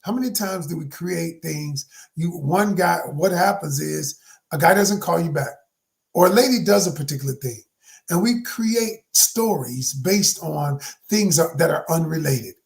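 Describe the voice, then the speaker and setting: A man in his sixties, a life coach giving a motivational talk to viewers. He is emphatic and preachy, calls his listeners "y'all," and swings from hushed to shouted. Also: on the high side, animated, a little slow, creaky. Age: 60s.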